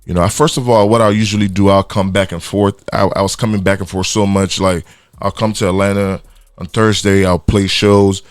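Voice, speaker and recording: A man's voice: low-pitched, steady tone, fairly quick, no room sound, clean studio sound. A Nigerian man with a Nigerian accent, talking casually as a guest musician and often restarting and repeating words. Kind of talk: casual interview chat. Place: radio studio.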